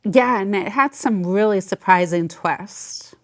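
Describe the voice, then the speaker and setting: A woman's voice: medium pitch, a little slow. A woman hosting a casual interview, eager and full of praise. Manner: enthusiastic, gushing, warm praise. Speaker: interview host.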